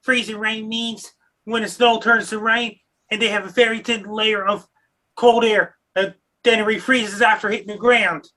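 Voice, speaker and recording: Guy with a high sharp voice explaining weather stuff, kinda halting and repeats himself, very earnest. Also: little pitch movement. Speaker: weather show contributor. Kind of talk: simple explanatory weather talk.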